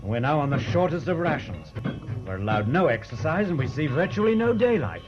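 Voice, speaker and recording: An actor playing a level-headed captive seaman, steady and firm, in a radio drama. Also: on the high side, fairly quick. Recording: dull lo-fi radio play, loud music behind.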